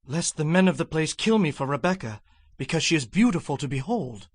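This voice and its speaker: Anxious ancient husband, high voice, dry close sound, defensive, audio drama. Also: male voice, expressive, a little fast, dry recording. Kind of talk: dramatized audio scripture acting.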